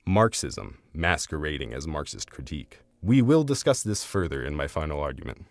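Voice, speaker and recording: A man narrating a scripted argumentative essay in a formal, measured, academic manner. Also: a bass voice, sing-song, creaky, no room sound. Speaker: video essayist.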